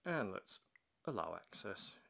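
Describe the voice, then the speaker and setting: A man's voice: low-pitched, big pitch swings, measured pace, creaky, sharp tone. A man giving a casual, unscripted product demonstration as a reviewer, talking through each step as he does it. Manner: narrates own actions step by step. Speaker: tech unboxing reviewer. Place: indoors at a wooden table.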